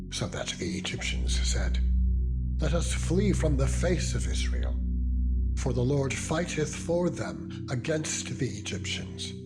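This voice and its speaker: Slow, solemn male scripture narrator over loud music. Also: medium pitch. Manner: solemn, measured, deliberate phrasing with pauses. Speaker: Bible reader, narrator. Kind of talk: dramatized King James scripture narration.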